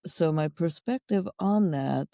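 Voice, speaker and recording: Middle-aged Japanese-accented woman guest; warm low-key tone, wry, measured delivery. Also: vocal fry, no echo, clean studio sound. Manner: thoughtful, wry, list-like phrasing. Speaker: guest sexologist and educator. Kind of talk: conversational interview answers.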